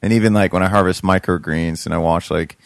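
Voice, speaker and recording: A man's voice: low voice, fairly even intonation, fast, creaky, dry recording, studio quality. A young man chatting casually about his urban farm, rambling with lots of fillers and restarts. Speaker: podcast co-host, urban farmer. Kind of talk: casual chat.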